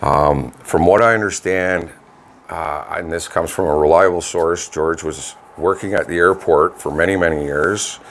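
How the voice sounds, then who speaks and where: A man with a Canadian accent chatting casually as co-host of a local show, talking in a rambling, halting way. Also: deep voice, fairly bright tone, a little hiss or hum. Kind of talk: casual community chat. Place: home studio.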